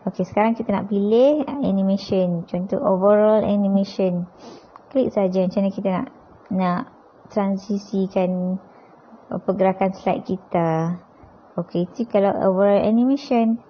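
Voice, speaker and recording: A woman's voice: mid-range voice, steady tone, normal speed. A woman with a Malaysian accent giving an informal step-by-step app tutorial, conversational and often checking in with tag questions. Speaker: tutorial presenter, teacher. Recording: dull, lo-fi sound.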